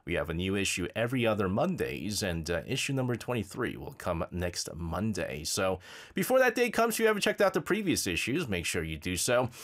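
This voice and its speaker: Male radio host, a bit nasal from a cold, bouncy and animated pitch, chatty with fillers. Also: a little fast. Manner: energetic, bouncy delivery with many fillers. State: has a slight cold, drowsy. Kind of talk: upbeat radio show announcements.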